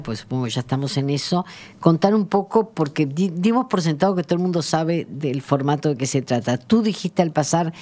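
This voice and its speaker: A woman's voice: deep voice, warm tone. A woman, speaking as a radio interviewer in an explanatory, emphatic conversational way. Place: small radio studio. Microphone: close studio microphone.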